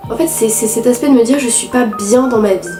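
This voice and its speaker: A teenage girl with a head cold, talking nasally through a candid, halting confessional vlog. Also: on the high side, echoey room, music in the background. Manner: halting, candid, many restarts and fillers. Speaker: vlogger.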